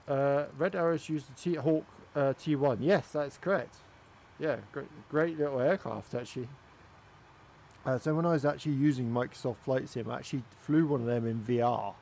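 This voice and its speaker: A man's voice: medium pitch, moderate pace, creaky, pauses often, darkish tone, a little hiss or hum. A man from Wales with a Welsh accent, giving casual, chatty commentary while flying a flight simulator. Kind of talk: casual gaming commentary with chat.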